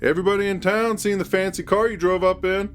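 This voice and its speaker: A man's voice: high-pitched, steady tone, fast talker, soft background music, pitched above the performer's own voice, close to an everyday voice. A man voicing a drunk, crude small-town thug who taunts in a mushy, bellowing way. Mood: jeering, menacing. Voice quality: mushy, slurred. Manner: crowing, bellowing, rural slang.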